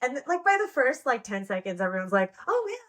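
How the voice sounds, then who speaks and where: A woman's voice: on the high side, very animated, fast, a bit bright. An American woman chatting casually as an interview guest, informal and giggly, with lots of slang. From United States. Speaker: podcast guest, pole dance instructor and performer.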